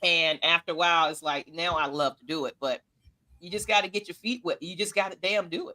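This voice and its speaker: A woman's voice: brisk, bright, forceful tone. A woman, co-hosting a casual fan chat and laughing often. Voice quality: low-toned voice. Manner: laughs often, loose and chatty. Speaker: podcast co-host.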